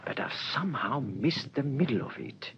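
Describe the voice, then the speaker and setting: A man playing an urgent, puzzled police inspector in a radio drama, commanding and often speaking in hushed tones. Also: mid-range voice, animated, moderate pace. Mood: puzzled musing. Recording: muffled, noisy radio drama recording.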